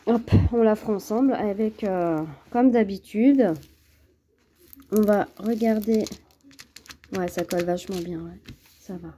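A woman with a head cold talks slowly and hesitantly, with many fillers, as she unboxes a craft kit at her table. Her voice is very dark and mellow. A faint hiss of noise sits under the recording. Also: mid-range voice. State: has a cold. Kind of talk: casual unboxing chat to camera. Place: at home, at a table.

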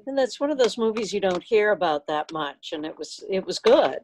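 A woman's voice: medium pitch, animated, fairly quick, noisy background. A woman chatting casually with a group on a video call. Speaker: club member chatting in meeting.

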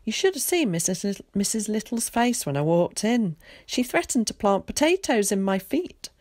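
A woman voicing a talkative, excitable, well-spoken boy with old-fashioned schoolboy exclamations in a storybook. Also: mid-range voice, normal speed, no echo, studio quality, voice pushed up. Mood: excited, confiding.

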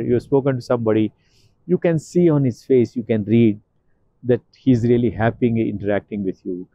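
Older guy, earnest and emphatic, warm mellow voice, outdoor courtyard, dry close sound. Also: mid-range voice, dry recording, low-quality recording. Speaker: interview guest. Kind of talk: outdoor walking interview.

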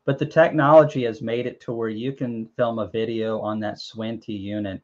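A man's voice: fairly low pitch, steady tone, normal speed, darkish tone. A young man hosting a casual live chat over a video call from a small room at home, rambling and joking with lots of fillers and restarts. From Tennessee, USA. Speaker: host. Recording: dull lo-fi stream audio.

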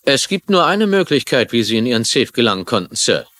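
Male police detective, inquisitive, casual, animated melody, dry sound, TV drama dub. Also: mid-range voice, fairly quick, no room sound. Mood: matter-of-fact. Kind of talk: dubbed TV drama dialogue.

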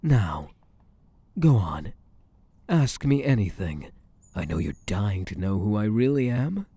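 A smug mafia boss, acted by a man, talks close and dry in an intimate audio roleplay. His voice is soft-edged and mellow and he takes his time. He teases and commands, possessive and menacing, with pitch that swings freely. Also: no echo, full character voice. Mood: commanding, teasing. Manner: slow, teasing, commanding. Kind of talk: intimate ASMR roleplay acting.

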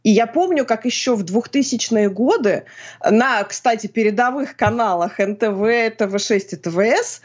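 A woman's voice: a little high, dull audio. A woman in her forties, a philologist and journalist, explaining things thoughtfully at home with frequent hesitation sounds. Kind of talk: conversational explanation on a video call. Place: home room with bookshelves.

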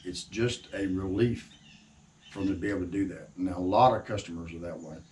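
A man in his sixties chatting to camera in a halting, folksy, reflective way, recorded in an open-sided shed outdoors. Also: low voice, darkish tone. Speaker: woodworker and sawmill owner hosting. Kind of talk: casual instructional chat to camera. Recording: distant mic with faint outdoor noise.

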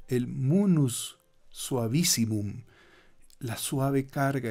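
An older man with a gravelly, mellow voice talks as a priest on a studio radio show. He explains patiently and turns to the listeners with rhetorical asides. The sound is close and clean from a studio mic. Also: medium pitch. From Ecuador. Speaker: Jesuit priest guest. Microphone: studio microphone with headphones.

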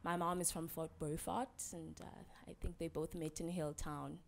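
Low-voiced young woman. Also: moderate pace, creaky, slight background noise. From Eastern Cape, South Africa. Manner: lively, laughs easily. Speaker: interview guest. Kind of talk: casual conversation. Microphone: handheld mic.

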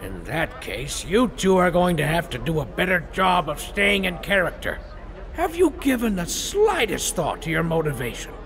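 An actor voicing a fussy, pompous older dwarf cleric. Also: male voice, fairly high pitch, wildly swooping pitch, moderate pace, vocal fry, fairly bright tone, heavily acted. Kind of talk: comic dub voice acting.

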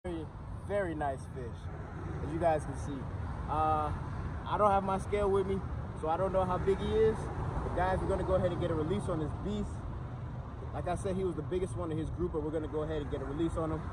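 Young male fishing vlogger, higher-pitched voice, excitable and slangy, recorded outdoors with clear background noise. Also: fast. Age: young adult. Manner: excited, slangy, addresses viewers and buddies. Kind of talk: casual fishing vlog commentary. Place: outdoors by canals and urban waterways.

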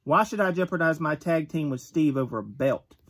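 A man in his thirties reading a wrestling magazine interview aloud at home, gruff and tough-talking, with the odd stumble, recorded on a phone camera at close range. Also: on the high side, animated, brisk. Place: bedroom with shelves of collectibles.

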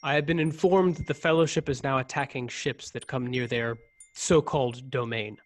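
A man's voice: medium pitch, steady tone, normal speed, lightly acted. A young man acting a proud, rigid, volatile starship ensign in a radio play, speaking formally and in clipped phrases. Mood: grave, confiding. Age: young adult. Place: recorded over a video call, close studio mic. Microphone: desktop microphone, headset worn.

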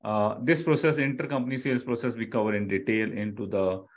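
A man's voice: fairly low pitch, steady tone, a little fast. Indian-accented man teaching a live online lecture. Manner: explanatory, repeats key phrases, checks with "okay" and "right". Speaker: online software instructor.

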